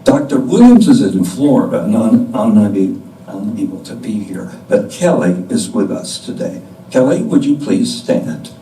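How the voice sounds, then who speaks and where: An older man giving a deliberate scripted introduction to a play from a stage, with pauses. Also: on the low side, very animated, mellow. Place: indoor stage before an audience. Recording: distant mic in echoey room, background noise.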